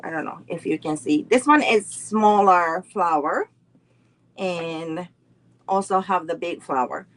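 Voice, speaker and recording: A woman's voice: fairly low pitch, a little slow. A middle-aged woman teaching a live online card making class, walking through each step unhurriedly and greeting viewers between steps.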